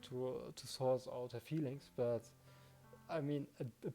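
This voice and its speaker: A man hosts a let's play, reading story narration and chatting casually about it. His voice is mellow and medium in pitch, with faint music behind him. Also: fairly even intonation, moderate pace. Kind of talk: reading visual novel narration, casual commentary.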